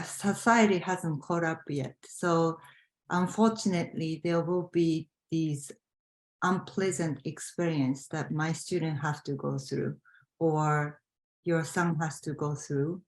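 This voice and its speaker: A woman language teacher answering an audience question, halting and thoughtful. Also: lowish voice, darkish tone, reverberant, poor audio. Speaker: language teacher, guest professor.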